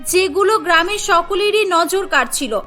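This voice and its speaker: A woman narrator with a very high, bright voice. She reads a scripted cartoon folk story, with faint music underneath. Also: expressive, moderate pace.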